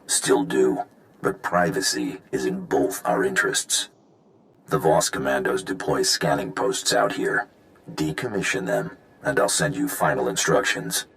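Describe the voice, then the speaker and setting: A man playing a secretive, coolly menacing villain in a video game, speaking in a measured, gravelly voice. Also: a bit bright, exaggerated voice, low-quality recording. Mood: cool, controlled. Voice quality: gravelly, very deep.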